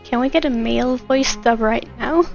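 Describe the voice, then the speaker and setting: A woman's voice: a little high, moderate pace, vocal fry. A woman chatting casually and a little hesitantly while livestreaming a game, on a dull lo-fi microphone. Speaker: game streamer. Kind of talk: casual livestream chat over gameplay. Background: faint game music.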